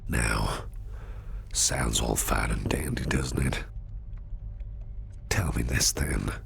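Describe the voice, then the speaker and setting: Super deep slow creepy flirty crime boss, breathy half whispered asmr rp, teasing and menacing. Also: male voice, a little hiss or hum, exaggerated voice. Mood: smooth persuasion. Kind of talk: intimate ASMR roleplay, close and breathy, often half-whispered.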